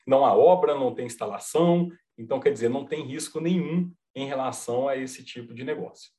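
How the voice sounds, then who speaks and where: A man from Minas Gerais with a mineiro accent, calmly explaining a service as a podcast guest, checking in with "tá?". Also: medium pitch, fairly quick. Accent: Mineiro Brazilian Portuguese. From Minas Gerais, Brazil. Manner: patient, reassuring explainer, many "tá?" checks. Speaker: company representative guest. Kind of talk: podcast interview, explaining a product.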